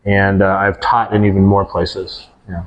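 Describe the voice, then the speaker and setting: A man in his forties from the United States, a teacher answering interview questions in a thoughtful, measured way. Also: sits low, level tone, low-quality recording. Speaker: guest, educator. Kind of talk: casual podcast interview.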